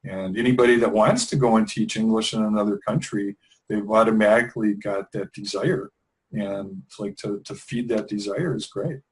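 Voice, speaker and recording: A man's voice: on the low side, low-quality recording. A middle-aged man, talking in an interview in an easygoing, rambling way, often restarting his sentences. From Wisconsin, USA. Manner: relaxed, rambling, with frequent restarts and fillers. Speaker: interview guest, English teacher trainer. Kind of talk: conversational interview.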